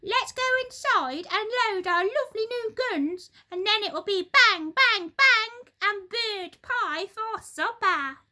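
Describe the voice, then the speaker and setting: A woman voicing a nasty, excitable married woman in a children's story, with dramatic, screechy delivery. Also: very high voice, slow pace, few pauses, pitched above the performer's own voice, heavily acted. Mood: gleeful scorn. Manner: screaming, scornful, dramatic.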